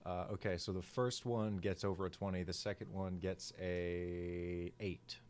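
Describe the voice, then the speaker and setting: A man with a lowish voice plays in a live tabletop game. He talks casually as he works through his turns. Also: flat delivery, a little slow, a little mellow, slight background noise.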